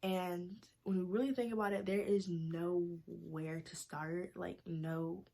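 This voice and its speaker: A woman's voice: on the low side, steady tone, takes it slowly, creaky, mellow. A young woman from North Carolina with a Southern accent, talking casually to her camera as a vlogger, full of "like" and "you guys". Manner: chatty, many "like"s and "you guys". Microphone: camera mic.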